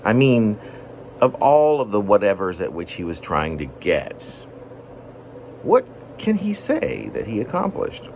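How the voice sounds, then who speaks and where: A low-voiced man reads his own poem as a wordy, deliberate monologue. His pitch moves around widely from phrase to phrase, with pauses in mid-sentence. A faint steady room hum sits behind him. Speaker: poet reading own work. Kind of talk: poetry reading, rambling semi-narrative monologue.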